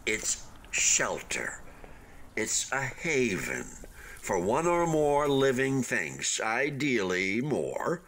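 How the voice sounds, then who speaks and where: Wildly swooping, wounded optimist of a building. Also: male voice, mid-range voice, big pitch swings, a bit bright, slight background noise, full character voice. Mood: earnest, insistent. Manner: theatrical, halting then gushing, swooping emphasis. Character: unfinished hotel building, male, wounded, proudly deluded, eager optimist. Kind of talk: radio play acting.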